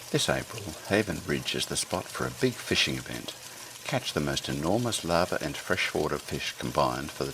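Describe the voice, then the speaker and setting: A man with a very low voice presents like a theatrical radio host. His scripted delivery has the shape of storytelling, and music plays clearly behind him. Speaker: radio program host and narrator.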